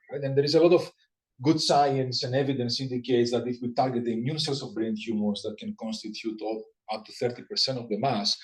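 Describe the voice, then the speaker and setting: A man's voice: mid-range voice, low-quality recording. A man in his forties from Greece with a Greek accent, giving an academic seminar talk in a conversational way with many restarts and repeated words. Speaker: guest lecturer.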